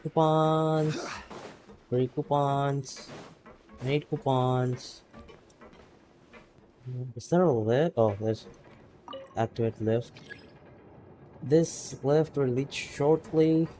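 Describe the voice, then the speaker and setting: A man's voice: mid-range voice, fairly even intonation, drawn-out words, pauses often, warm tone. A young man casually commenting while playing a game and reading its text aloud, rambling and playful. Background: game music.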